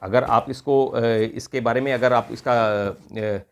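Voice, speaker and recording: A man's voice: mid-range voice, fairly even intonation, slow pace. A man with an Indian accent giving an unscripted product demonstration, explaining step by step and often rephrasing in mixed Hindi and English. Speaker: product reviewer.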